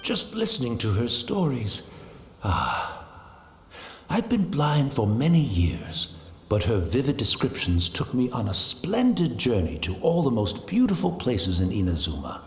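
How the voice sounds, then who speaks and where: Humble, lilting tea master, echoey. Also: male voice, medium pitch, sing-song, unhurried, a bit bright. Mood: resigned, wistful. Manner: courtly, deferential, reflective. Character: humble, serene blind tea master, devoted retainer. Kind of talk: video game voice acting.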